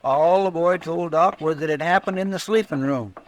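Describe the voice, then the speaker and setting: An actor playing stubborn, folksy frontier men in a 1950s radio western, with an American rustic drawl and plain country talk. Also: male voice, on the high side, normal speed, natural voice, low-quality recording. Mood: earnest.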